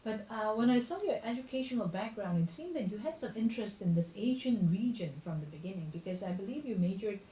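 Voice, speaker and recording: A woman hosting a radio show in two languages, talking rapidly and switching between them. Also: medium pitch, expressive, dark tone, echoey room, recorded from a distance, slight background noise, poor audio. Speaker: radio host.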